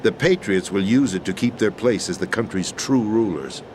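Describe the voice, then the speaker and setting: Actor as a low-voiced, grave fallen president. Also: male voice, lively intonation, slight background noise, natural voice. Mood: grave, urgent. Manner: solemn, deliberate, authoritative. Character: grave middle-aged deposed president, commanding and desperate. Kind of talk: dramatic video game acting. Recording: loud music over the voice.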